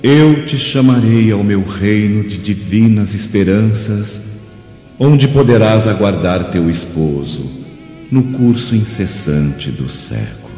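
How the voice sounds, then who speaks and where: A serene, solemn holy man in a radio drama. His dark voice moves slowly and measuredly through a long reverberant space. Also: fairly low pitch, animated. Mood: solemn, tender. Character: Jesus, a solemn, serene man making a divine promise. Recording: muffled, reverberant hall, faint music.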